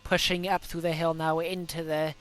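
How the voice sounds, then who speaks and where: A man's voice: fairly high pitch, level tone, normal speed, fairly bright tone, smooth. A young Welsh man with a cold coming on, chatting casually through his racing game laps with plenty of fillers. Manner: chatty, self-correcting, many fillers. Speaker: gaming video host. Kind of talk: casual gameplay commentary.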